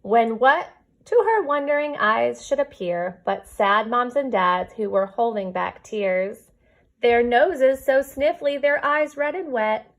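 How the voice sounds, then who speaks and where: A woman's voice: a little high, animated, takes it slowly. A woman who teaches kindergarten reads a children's picture book aloud expressively, adding chatty asides to young listeners.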